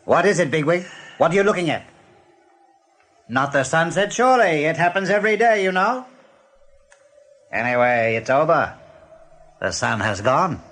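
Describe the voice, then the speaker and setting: An actor playing a sly rabbit general in a radio play, questioning with measured, menacing courtesy. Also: male voice, fairly high pitch, sing-song, a bit bright. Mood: probing.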